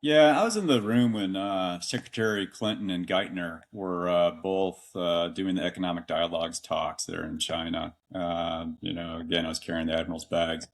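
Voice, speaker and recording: Low-voiced, halting middle-aged male guest. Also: fairly even intonation, gravelly. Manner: halting, with many fillers and restarts. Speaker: guest, retired military officer. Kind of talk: casual conversation.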